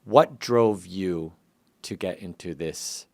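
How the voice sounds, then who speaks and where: A man's voice: deep voice, slow pace, creaky, a little mellow, dry recording. A middle-aged man from Taiwan hosting a podcast interview in a casual, playful way.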